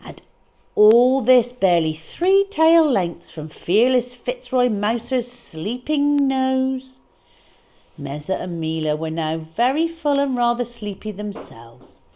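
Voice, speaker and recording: A woman tells a children's story at an unhurried pace. Her pitch moves around a lot as she reads, and she leans on key words for drama. The delivery is deliberate and expressive. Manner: dramatic, deliberate, with emphatic stresses. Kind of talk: expressive picture-book read-aloud.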